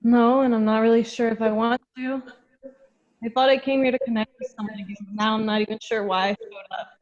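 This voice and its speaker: A woman's voice: on the high side, steady tone, brisk, natural voice. A woman reading the part of a withdrawn, blunt teenage girl in a table read. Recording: dull lo-fi sound.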